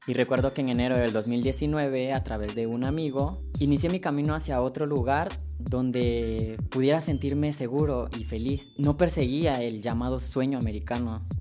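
A young man from Honduras, in his twenties, speaks. He tells his own story in a calm, measured, first-person way. His voice sits at a middle pitch, and clear music plays under it. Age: 20s. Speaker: featured migrant telling his story. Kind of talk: read personal testimony.

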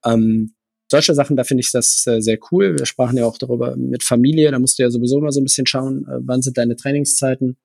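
A man's voice: mid-range voice, steady tone, quick pace, creaky, darkish tone, dry recording, studio quality. A man who co-hosts a podcast and coaches running, explaining things at length with many hesitations. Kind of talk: casual chat.

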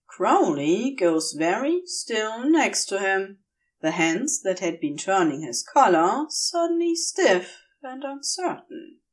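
A woman reading a story aloud as narrator, with expressive delivery. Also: mid-range voice, slow, recorded from a distance. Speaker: podfic narrator.

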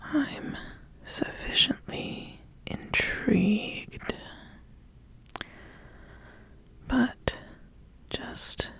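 Woman playing an appraising witch, ASMR fantasy roleplay, very slow breathy whisper, deliberate, ambitious. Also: medium pitch, no echo, exaggerated voice. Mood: deliberate, testing. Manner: slow, deliberate, repeats phrases approvingly. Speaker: ASMR host.

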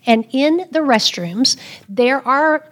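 A woman's voice: sits high, lively intonation, takes it slowly, a bit bright. Earnest older woman, emphatic. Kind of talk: casual panel talk.

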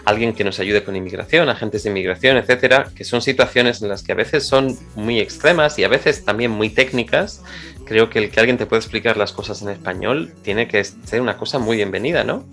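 A man with a mid-range voice hosts a radio interview. He speaks with care and sometimes stops to search for a word. Light music plays softly behind him. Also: on the low side. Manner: measured, searching for words. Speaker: radio host. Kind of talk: interview hosting. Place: radio studio.

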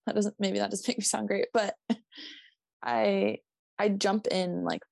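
A woman with a light Minnesotan accent chats loosely on her podcast, circling her point with lots of "like" and quick laughs. Her voice sits at a middle pitch and is recorded dry and close, with no room sound. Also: little pitch movement, creaky, darkish tone, dry recording. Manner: rambling, self-interrupting, laughing, swearing. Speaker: podcast co-host. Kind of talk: casual chat.

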